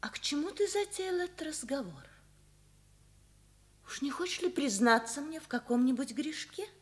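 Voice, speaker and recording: A high-voiced, lilting, proud mother. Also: sing-song, moderate pace. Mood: gently probing. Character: proud, calm, loving mother of a teenage son. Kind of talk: Soviet radio play acting.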